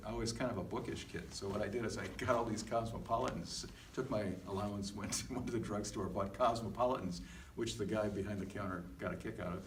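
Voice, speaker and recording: A middle-aged man talking casually and reflectively as a podcast co-host, halting and self-deprecating. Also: lowish voice. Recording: echoey, distant mic with faint noise.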